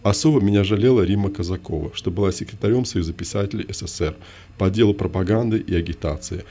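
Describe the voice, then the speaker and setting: A man with a fairly low voice reads his memoir aloud in the first person. He keeps a measured, even pace, ticking off events one by one with terse, repeated phrases. The tone is steady and plain. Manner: measured, list-like delivery with terse refrains. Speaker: memoir narrator.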